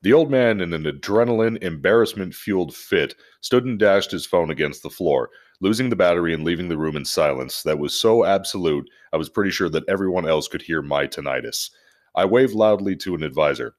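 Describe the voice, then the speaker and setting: Very low-voiced man hosting a podcast. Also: lively intonation, fairly quick. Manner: profane, jokey. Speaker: podcast host. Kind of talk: casual podcast chat and animated story reading.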